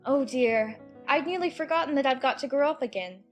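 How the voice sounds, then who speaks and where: A woman's voice: sits high, a little fast, soft background music, natural voice. A woman playing an earnest, puzzled little girl in a dramatized audiobook, with a polite, hesitant, musing manner. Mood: musing, anxious. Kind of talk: dramatized audiobook character acting.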